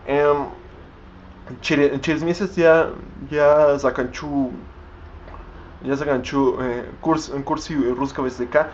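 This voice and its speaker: Halting man, dull recording. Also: fairly high pitch, fairly even intonation, a little hiss or hum. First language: Spanish. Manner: halting, many restarts and fillers while searching for words. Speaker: language learner vlogging. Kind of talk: unscripted vlog in a learned language.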